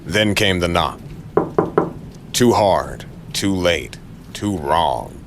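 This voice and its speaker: A man's voice: low-pitched, lively intonation, very slow, background noise. A man narrating a noir crime audio drama in a brooding, dramatic way with short clipped phrases.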